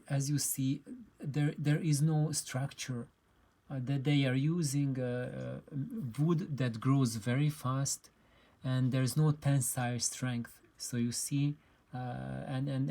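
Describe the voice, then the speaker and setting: A man presenting an informal explainer, speaking haltingly and often repeating himself as he searches for words. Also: medium pitch, dark tone. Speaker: presenter of an audio DIY channel. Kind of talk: informal explanatory video talk.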